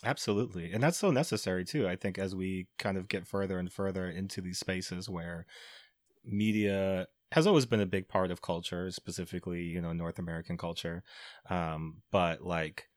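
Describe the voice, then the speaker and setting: Deep-voiced laid-back male podcast host. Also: moderate pace, no echo. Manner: laid-back, lots of "you know" and "like". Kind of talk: casual podcast conversation.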